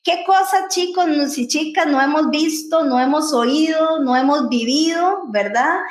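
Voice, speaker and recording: Lively costa rican woman hosting a podcast, highish voice, talks right to the listeners. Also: a little slow. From Costa Rica. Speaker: podcast host. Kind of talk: casual podcast chat. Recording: roomy echo.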